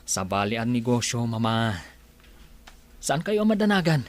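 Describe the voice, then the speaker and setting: A man's voice: mid-range voice, big pitch swings, normal speed, lightly acted. A man playing a businesslike adult son, confident and reassuring, in an Ilocano Filipino radio drama.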